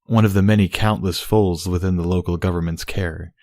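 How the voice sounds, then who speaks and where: A man's voice: deep voice, fairly even intonation, a little fast, a little mellow, no room sound, studio quality. A man reading a story aloud as scripted fiction narration. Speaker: story reader.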